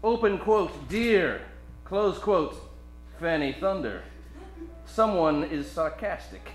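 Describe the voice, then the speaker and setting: A man's voice: a little high, expressive, slow, roomy sound. A man playing a wisecracking, hard-drinking private detective with joking hard-boiled patter in a live comedic radio play. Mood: dry, mocking.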